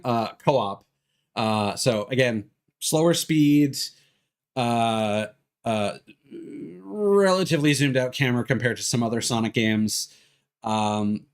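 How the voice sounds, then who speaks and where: A man giving casual, rambling gameplay commentary as a streamer, hesitant and drifting into tangents. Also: fairly low pitch. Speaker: video game streamer. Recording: music behind the voice.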